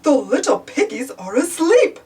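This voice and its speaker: A woman voicing a genial father pig in a storybook, with a gently firm parental manner. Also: high voice, sing-song, unhurried, far from the mic. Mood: amused.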